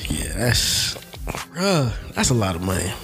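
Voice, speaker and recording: A man's voice: lowish voice, huge pitch swings, measured pace, vocal fry, fairly bright tone. A young man co-hosting a casual sports chat show, talking in a laid-back, slangy, rambling way. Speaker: podcast co-host.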